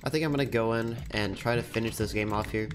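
A teenage boy with a stuffy nose from a cold, chatting casually and cheerfully while commentating his gameplay at a desk microphone. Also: fairly low pitch. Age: teens. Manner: chatty, upbeat, quick laughs. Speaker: gaming YouTuber. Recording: music playing under the voice. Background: background music and keyboard typing.

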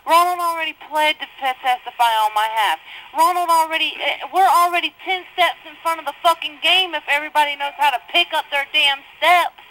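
A woman talks on a jail telephone with a high, sharp, bright voice. She is agitated and swears constantly, pushing her points fast and cutting in over the other end of the line. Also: slight background noise, rough recording. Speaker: inmate on a jail phone call. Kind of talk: heated phone call with her mother.

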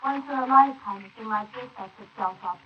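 Woman playing a high-voiced scheming bride, old radio. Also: quick pace, lightly acted. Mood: smug, scheming. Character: brazen, scheming, impatient bride plotting for an inheritance. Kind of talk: 1940s radio acting. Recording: noisy muffled 1948 wire recording of transcription discs.

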